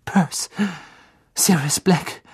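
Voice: slow pace, darkish tone, natural voice. A reader voicing a frightened teenage boy in a storybook, urgent and insistent. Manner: urgent, pleading, shaky.

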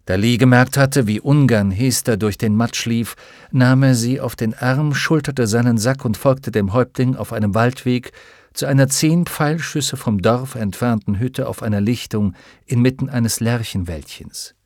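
A man reading an adventure audiobook aloud in a steady, even storytelling manner. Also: mid-range voice, moderate pace, no room sound. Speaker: audiobook narrator. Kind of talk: scripted narration. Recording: dry close studio sound.

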